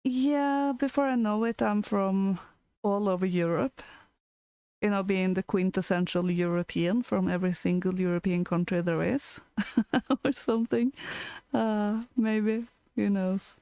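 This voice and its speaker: A woman's voice: medium pitch, a little slow, dark tone, clean tone, no room sound. A Norwegian woman co-hosting a storytelling stream, chatting casually and hesitantly with frequent fillers and small laughs. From Norway. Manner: hesitant, lots of fillers and giggles. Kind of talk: casual chat.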